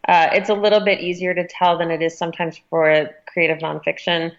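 A woman's voice: on the low side, little pitch movement, fairly quick, muffled recording. A woman speaking as a magazine editor in a conversational interview, explaining things thoughtfully.

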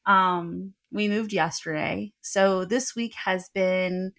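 A middle-aged woman tells stories in a lively, joking way as a podcast co-host. Her pitch sits in the middle range. She speaks from a bedroom in her new home. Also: slow pace. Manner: animated, joking storyteller. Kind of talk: casual chat.

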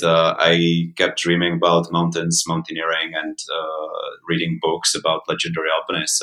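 Male guest coach, Czech accent, very low, flat-pitched delivery, conversational and hesitant. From Czech Republic. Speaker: mountain coach and podcast guest. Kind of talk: conversational interview answers.

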